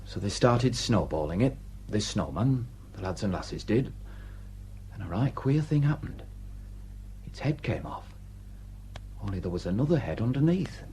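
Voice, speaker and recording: A man's voice: lowish voice, animated, moderate pace, long pauses, a little mellow, a little hiss or hum, natural voice. A man acting a deferential, plain-spoken village sergeant with a Northern English accent in a radio drama. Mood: grim, hushed.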